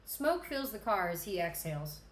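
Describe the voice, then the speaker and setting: A woman's voice: mid-range voice, big pitch swings, normal speed. A woman reading stage directions as the narrator of a screenplay table read. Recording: echoey room, background noise.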